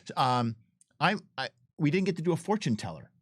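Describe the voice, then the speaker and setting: A man's voice: on the high side, big pitch swings, fairly quick, dry recording, clean studio sound. A man talking casually as a game designer, enthusiastic and emphatic, often repeating himself and veering into asides. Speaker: game designer and adventure writer. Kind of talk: casual developer commentary chat.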